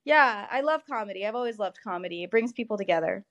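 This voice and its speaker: A woman's voice: medium pitch, expressive, quick pace, a bit bright, lo-fi sound. A young adult woman from Ohio, a comedian guest on a talk show, telling stories in a chatty, self-deprecating way. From United States (Ohio). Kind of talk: casual interview chat.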